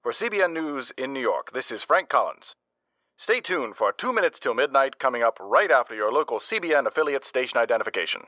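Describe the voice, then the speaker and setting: A man acting an earnest American newscaster and host in old-time radio style, crisp and theatrical. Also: mid-range voice, very animated, brisk, pushed and bright. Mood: formal. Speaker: newscaster and story narrator. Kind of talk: old-time radio news and suspense narration.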